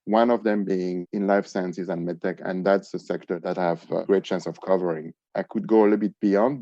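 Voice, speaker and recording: A man advising on business development, giving measured, informative answers in a podcast interview. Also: low voice, warm tone, dry recording, muffled recording.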